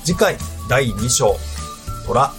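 A man's voice: mid-range voice, expressive, very slow. A man narrating an ad and a next-episode trailer in a dramatic announcer voice. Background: music.

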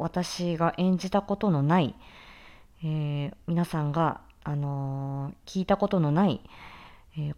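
A woman voice actor giving a reflective promotional talk in an earnest, halting, deliberate way. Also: deep voice, mellow, highs cut off. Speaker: voice actor introducing a production.

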